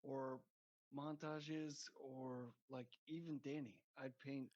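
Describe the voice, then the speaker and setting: A man's voice: mid-range voice, little pitch movement, takes it slowly, darkish tone. A man guesting on a podcast, joking crudely and rambling in casual chat. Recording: dull lo-fi sound.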